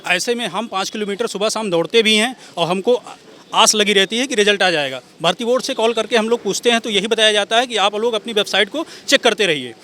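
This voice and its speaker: Young male protester, rapid high delivery, articulate and earnest. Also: bright, forceful tone, slight background noise. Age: young adult. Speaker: job candidate at a protest. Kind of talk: street interview.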